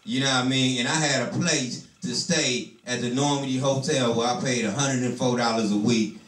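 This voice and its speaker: A man's voice: medium pitch, fairly even intonation, moderate pace, fairly bright tone. A man in his thirties from telling a loose, profane stand-up story, repeating "you know what I'm saying". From Detroit, USA. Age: 30s. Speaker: stand-up comedian. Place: small comedy club. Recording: roomy club sound from a distance, crowd noise.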